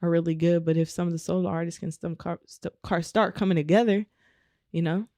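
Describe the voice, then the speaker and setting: A woman from Omaha with a naturally raspy voice, talking casually as a guest in a podcast interview with a laid-back flow. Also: on the low side, steady tone, brisk, dark tone, dry recording. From Omaha, United States. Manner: laid-back, frequent "you know" and laughs. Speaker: guest musician.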